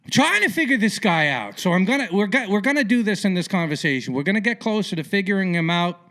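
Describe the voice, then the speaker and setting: A man hosting a casual podcast interview in a colloquial, theatrical way with punchy, emphatic phrasing and a gravelly voice. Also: high-pitched, very animated, fast talker, a bit bright. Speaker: podcast host.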